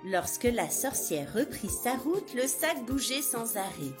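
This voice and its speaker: A woman narrating a scripted fairy tale for young children in an expressive, dramatic way. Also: fairly high pitch, measured pace. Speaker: children's storyteller. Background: music behind the voice.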